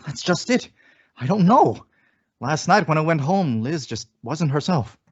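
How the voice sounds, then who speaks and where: An actor playing a well-meaning, befuddled husband and office worker in an old radio comedy. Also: male voice, fairly high pitch, big pitch swings, unhurried, slightly rough, full character voice. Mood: worried, puzzled. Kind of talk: 1950s radio sitcom acting. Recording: dull vintage radio recording.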